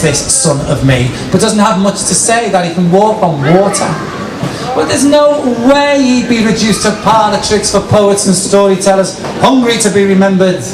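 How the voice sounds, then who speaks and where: A man performing poetry live as a dramatic recital, with theatrical, rhythmic, drawn-out phrasing. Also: sits high. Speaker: performance poet and compere. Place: outdoor city square, poetry tent. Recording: distant, echoey, noisy outdoor live recording. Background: street ambience and passers-by noise.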